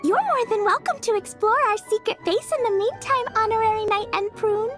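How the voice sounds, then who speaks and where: A woman's voice: extremely high pitch, moderate pace, over music. A woman voicing a sweet, bubbly, playful little girl witch in an anime-style video game. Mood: bubbly, welcoming.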